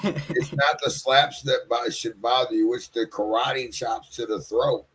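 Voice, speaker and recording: A man's voice: mid-range voice, fairly even intonation, unhurried, background noise. A man in his forties joking and teasing in a casual podcast chat. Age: 40s.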